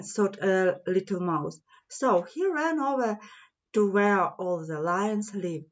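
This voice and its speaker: Slow-reading woman telling a children's story. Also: mid-range voice, a little mellow, highs cut off. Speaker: children's audiobook reader. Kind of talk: fairy-tale narration for children.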